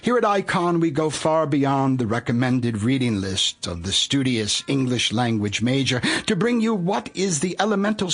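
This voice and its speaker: A man's voice: fairly high pitch, husky. A man delivering a scripted audiobook introduction as narrator, in a grandiose, solemn manner.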